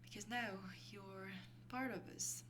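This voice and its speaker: A woman playing an affectionate young vampire girlfriend in an ASMR roleplay, speaking softly and intimately in a hesitant, breathy voice that often drops to a whisper. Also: medium pitch, steady tone, lightly acted. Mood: fond, nostalgic. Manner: slow, hesitant, intimate, with small breathy laughs. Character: affectionate young female vampire girlfriend mentoring a newly turned lover. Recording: clearly noisy recording with hum.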